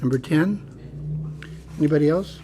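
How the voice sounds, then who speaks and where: A man with a fairly high voice chairs a council meeting as mayor. He moves items along briskly, calling for motions and roll calls. He is speaking in a council chamber. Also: warm tone, a little hiss or hum. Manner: brisk, procedural.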